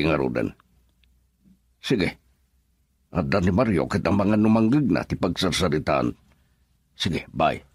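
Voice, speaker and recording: An actor with an Ilocano accent playing an unhurried, jovial male boss in a radio drama. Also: low-pitched, no room sound, heavily acted. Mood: instructing.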